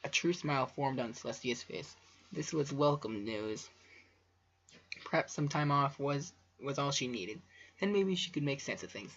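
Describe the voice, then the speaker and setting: Man, low-fi muffled audio, husky creaky voice, amateur story reading, rambling asides, stumbling delivery. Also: expressive, moderate pace, fairly bright tone. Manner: stumbling over words, rambling, self-deprecating. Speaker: amateur fanfiction reader. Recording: muffled lo-fi home recording.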